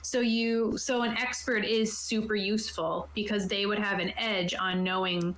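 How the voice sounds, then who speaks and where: A woman's voice: medium pitch, little pitch movement, normal speed, fairly bright tone, a little hiss or hum. Woman in an echoey room, explaining casually. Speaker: game master. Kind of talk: casual explaining of tabletop game rules; reading rulebook passages aloud. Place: indoor room, online video call. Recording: roomy, echoey home recording.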